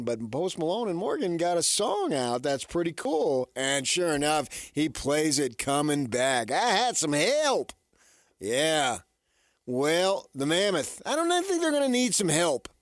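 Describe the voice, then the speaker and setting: A man's voice: fairly high pitch, no room sound, studio quality. A man hosting a sports radio show, talking casually in a rambling monologue and often answering his own questions. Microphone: studio radio microphone.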